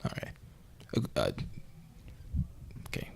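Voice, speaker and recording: A man's voice: slow, close to an everyday voice. A man playing an earnest, bumbling amateur podcast host who interviews in an awkward, hesitant way, avoiding any conflict. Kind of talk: mock investigative interview.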